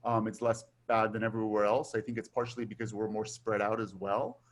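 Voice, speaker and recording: A middle-aged man from Taiwan, raised in Southern California, chatting as a guest in a relaxed way with frequent fillers. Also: lowish voice, brisk. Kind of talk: casual conversation.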